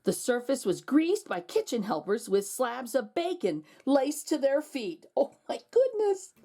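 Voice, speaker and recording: A woman reading a picture book aloud to children as an expressive storyteller, with exclaimed asides to her young listeners. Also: high voice, measured pace. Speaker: children's read-aloud host.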